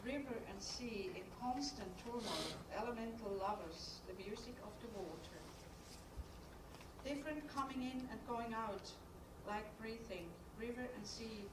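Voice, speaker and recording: A woman whose first language is German gives a conference lecture. She reads measured, poetic passages and breaks off into halting asides. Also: mid-range voice. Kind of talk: reading a prepared lecture aloud, then speaking freely. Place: conference studio hall. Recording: echoey hall from far off, noisy.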